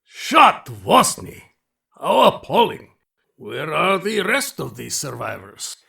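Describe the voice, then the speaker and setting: Gravelly, courtly alien aristocrat. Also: male voice, a little high, huge pitch swings, bright, forceful tone, heavily acted. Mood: shocked concern. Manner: formal, courtly, deliberate, theatrical. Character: towering male reptilian alien aristocrat, genteel, sinister hunting host. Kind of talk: space adventure audio drama acting.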